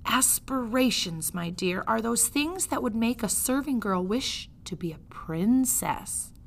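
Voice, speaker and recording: Suave male storybook rat, played by a woman reader: courtly, scheming, flattering, with a very animated pitch. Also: unhurried, gravelly, a little hiss or hum. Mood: coaxing, persuasive. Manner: formal, flowery, polite phrasing.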